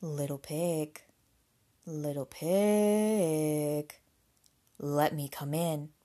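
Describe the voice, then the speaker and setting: A big bad wolf from a nursery tale , as a woman acts him out. He is low and slow, stretching his words in a looming, sly, coaxing way. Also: dark tone, heavily acted. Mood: menacing. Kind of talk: children's storybook character voice.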